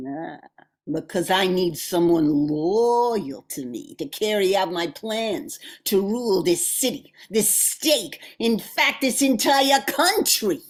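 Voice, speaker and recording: A woman acting a ruthless, power-hungry female gangster boss in a radio drama, with theatrical, deliberate delivery. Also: lowish voice, exaggerated voice. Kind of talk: amateur old-time radio drama acting.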